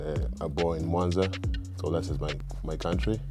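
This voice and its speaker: Young dude from tanzania, super deep voice, chill and soft, music playing behind. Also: male voice, steady tone, normal speed. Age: young adult. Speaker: college basketball player.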